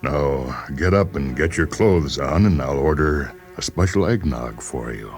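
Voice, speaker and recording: A man's voice: very deep voice, animated, unhurried, voice pushed down. A man voicing an affable, patronizing doctor who hesitantly coaxes a patient in a radio story reading. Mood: coaxing, jovial. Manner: hesitant, patronizing bedside chumminess. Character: affable male doctor coaxing a stubborn psychiatric patient. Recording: voice over background music.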